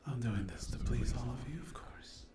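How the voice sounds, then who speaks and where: A man speaking softly to viewers in an ASMR style, hesitant and halting, often slipping into a whisper. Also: medium pitch. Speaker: ASMR creator addressing viewers. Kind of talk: soft-spoken ASMR update. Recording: echoey room with loud noise, clicks.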